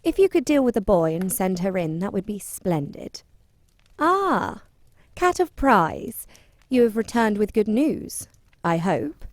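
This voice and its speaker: A woman's voice: medium pitch, big pitch swings, unhurried, dry recording. An actress playing a haughty, cold female mine owner who talks down to others. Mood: condescending. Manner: condescending and cold.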